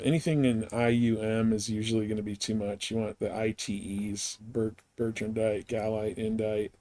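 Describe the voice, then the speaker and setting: A man's voice: fairly low pitch, a little mellow. A man giving casual, rambling gameplay commentary as a live streamer, explaining things as he goes.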